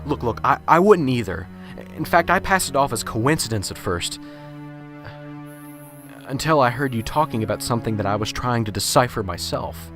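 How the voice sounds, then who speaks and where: A man's voice: medium pitch, animated, normal speed, natural voice. A man playing a nervous, unsure professor in a radio drama, stammering and hesitant. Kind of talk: amateur radio drama acting. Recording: radio drama with music underneath.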